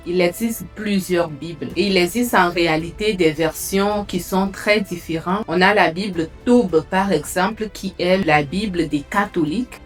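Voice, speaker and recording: A woman hosting her own channel, talking directly to the viewer in a conversational way and often asking them questions. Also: medium pitch. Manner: conversational, asks the listener questions. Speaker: host. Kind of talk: casual direct-to-camera talk.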